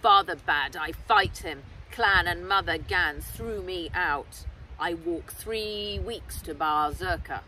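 A woman's voice: lowish voice, slow, sharp tone. Menacing male poisoner, played by a woman reader, storybook, clipped broken English, slightly distant outdoor recording. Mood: bitter. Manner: clipped broken sentences, spitting and hissing. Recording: outdoors, distant mic, clear background noise.

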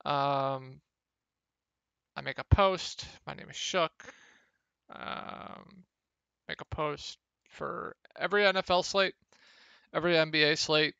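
A young man with a slightly hoarse, gravelly voice, casually talking through fantasy football picks over a screen share, with many ums. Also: on the high side, takes it slowly, long pauses, no room sound, muffled recording. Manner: relaxed, lots of ums. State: a little hoarse. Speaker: fantasy sports analyst.

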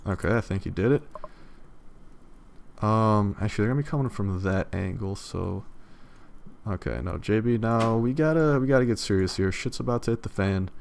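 Slightly hoarse young gamer guy. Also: lowish voice, normal speed, darkish tone, slight background noise. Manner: loose, rambling, swears casually. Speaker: let's play gamer. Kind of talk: casual gameplay commentary.

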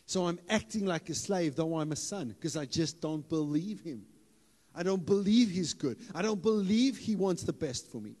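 A man, preaching a lively sermon on a church stage into a handheld mic, playful and building to loud emphatic bursts. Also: fairly high pitch, normal speed. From South Africa. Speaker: pastor. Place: church hall stage with audience. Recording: hall reverb, live church PA.

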